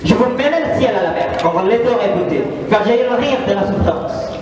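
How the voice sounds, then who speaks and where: Casting: young man as tormented, imperious Roman emperor, fairly high voice, theatrical stage delivery, heard in a big echoing hall. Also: expressive, moderate pace. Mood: exalted, defiant. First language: Indonesian. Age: young adult. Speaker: student actor. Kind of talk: theatrical dramatic stage reading. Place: large reverberant hall with an audience. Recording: very echoey hall, distant mic, audience noise.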